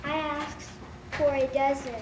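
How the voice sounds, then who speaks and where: Girl playing a high-voiced stern old woman, echoey. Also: flat delivery, a little slow, recorded from a distance. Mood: demanding. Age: child, about eight or nine. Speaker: reader in a school readers' theater. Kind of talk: recited school play dialogue. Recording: echoey room from afar, noisy.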